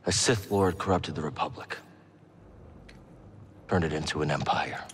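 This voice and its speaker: A weary but sincere Jedi survivor in a cinematic video game. His voice is low and plain-spoken. There is faint ambient noise behind it. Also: moderate pace. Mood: earnest, grave. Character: weary, earnest surviving male Jedi hero. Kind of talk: understated cinematic game acting.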